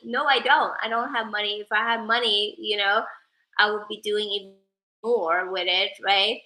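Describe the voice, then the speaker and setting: A woman's voice: mid-range voice, pushed and bright, echoey room, rough recording. A woman from Sweden, whose first language is Persian, chatting casually on a livestream and thanking viewers by name. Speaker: livestreamer and advocate.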